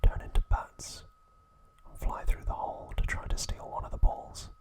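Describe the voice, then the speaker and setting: A man narrating in a hushed, breathy ASMR whisper, in short phrases with pauses. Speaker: ASMR host.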